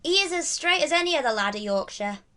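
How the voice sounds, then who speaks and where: A woman's voice: sits high, lively intonation, quick pace, sharp tone, voice pushed up. A woman voicing a loyal, proud country lad in a storybook, speaking emphatically. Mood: proud assertion. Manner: emphatic, exclaiming.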